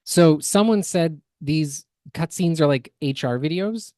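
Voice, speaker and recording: A man's voice: a little high, unhurried, darkish tone, dry recording. Emphatic man, dry close podcast sound. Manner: analytical, emphatic, builds word lists. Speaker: podcast co-host. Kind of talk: opinionated reaction commentary.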